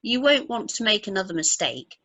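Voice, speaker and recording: A woman's voice: medium pitch, sing-song, fairly quick, sharp tone. A woman playing a chatty, gushing, frank young mother-to-be in an amateur radio play, with a gossipy manner. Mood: curious, gossipy.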